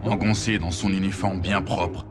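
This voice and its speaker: A man's voice: low-pitched, little pitch movement, normal speed, music in the background, lightly acted. A man playing a resolute captured Allied squad leader in a war game, grim and determined. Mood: grim resolve. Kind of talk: cinematic war game narration and dialogue.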